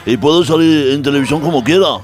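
A man's voice: a little high, fast, lightly acted. Actor as a grumbling, petulant king of Spain. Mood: indignant. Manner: colloquial, grumbling, reluctant. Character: petulant, casual king of Spain fond of his unibrow. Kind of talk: parody radio drama acting.

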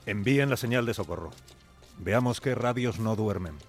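An actor playing a calm, commanding older ship's captain giving grave orders in a radio drama. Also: male voice, lowish voice, moderate pace, faint music behind, close to an everyday voice.

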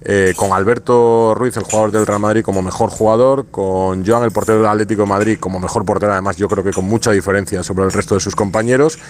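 A man reporting live for a sports radio show, giving a brisk, long run-on introduction before handing over to the guest. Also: lowish voice, steady tone, a little hiss or hum. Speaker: field reporter.

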